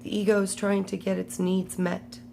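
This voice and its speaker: A woman with a cold and a strained voice, reading a spiritual book aloud and adding her own comments in a slow, halting way. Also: medium pitch, flat delivery, vocal fry, mellow, slight background noise.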